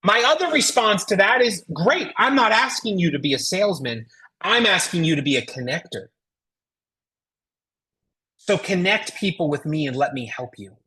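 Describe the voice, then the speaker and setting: A woman's voice: fairly low pitch, big pitch swings, normal speed, bright, forceful tone, husky. A woman with an American accent giving a motivational sales coaching talk as a trainer, emphatic and folksy, with rhetorical questions. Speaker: network marketing trainer.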